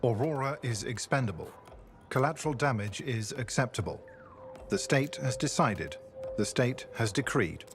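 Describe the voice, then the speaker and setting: An actor voicing a video game's haunted defector, a former state assassin with a halting, brooding, confessional delivery. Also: male voice, mid-range voice, expressive, noisy background, close to an everyday voice. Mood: anguished. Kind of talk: video game character dialogue.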